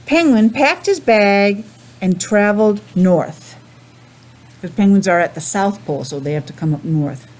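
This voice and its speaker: A woman's voice: mid-range voice, unhurried, darkish tone. A woman teacher reading a picture book to young children, patiently asking questions and explaining things simply. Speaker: preschool teacher. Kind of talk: children's read-aloud with explanations.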